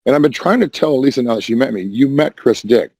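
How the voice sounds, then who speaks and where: Hoarse man rambling on a voice call. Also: medium pitch, expressive, quick pace, a little mellow. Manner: rambling, defensive, repeats and restarts. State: hoarse, says voice is damaged. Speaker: Discord voice chat caller. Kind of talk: rambling casual chat.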